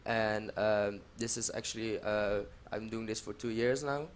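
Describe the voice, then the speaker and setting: Low, even-toned young man. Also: measured pace, a little hiss or hum. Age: young adult. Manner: hesitant, searching for words. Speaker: guest, theater maker. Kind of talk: interview answer.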